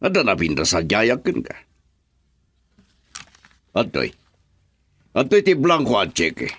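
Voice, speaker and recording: A man's voice: on the low side, wildly swooping pitch, measured pace, fairly bright tone, breathy edge, no room sound, full character voice. A man acting a wealthy, stern, condescending father in a radio drama, with a Filipino accent. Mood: haughty, pressing. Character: wealthy, haughty, disapproving father of a young woman.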